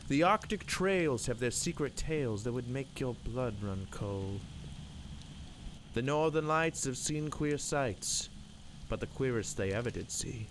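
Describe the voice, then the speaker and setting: Man reciting dramatic verse over loud noise. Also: medium pitch. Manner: theatrical, rhythmic, emphatic. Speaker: poem reader. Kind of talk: dramatic narrative poetry recitation. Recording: loud background noise and ambience.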